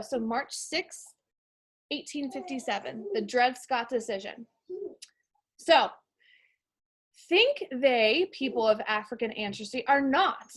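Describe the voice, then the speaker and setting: A woman's voice: high voice, a bit bright. An American woman reading aloud to young schoolchildren as a teacher, informal and explanatory, addressing them as friends. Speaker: elementary school teacher reading a picture book to pupils. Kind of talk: read-aloud lesson for young children.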